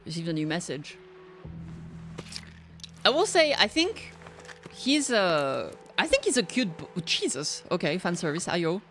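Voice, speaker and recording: A woman with a slightly low voice chats casually as a game reaction streamer. She rambles and hedges, thinking aloud as she goes. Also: big pitch swings, a little slow, pauses often, fairly bright tone, soft background music.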